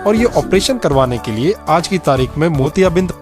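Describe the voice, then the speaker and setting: A man's voice: a little high, animated, a little fast, music in the background. A man with an Indian accent hosting a radio show, presenting and interviewing. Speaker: radio host.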